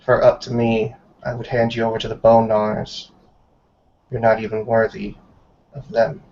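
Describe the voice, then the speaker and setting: A man voicing a stern, commanding old woman, a tribe elder, in formal measured speech for a tabletop roleplay. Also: lowish voice, completely flat delivery, darkish tone, recorded from a distance, natural voice. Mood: stern contempt. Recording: dull, lo-fi online call.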